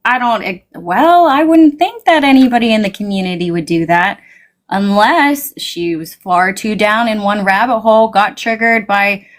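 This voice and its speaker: Woman, guest, casual chat, animated, pitch swings up high, quotes herself. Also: normal speed. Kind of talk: casual conversation.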